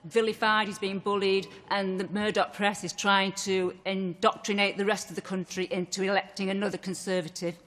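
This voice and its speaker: A woman in a studio audience speaking indignantly, with halting restarts. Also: mid-range voice, level tone, a bit bright, a little hiss or hum. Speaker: audience member. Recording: studio hall reverb.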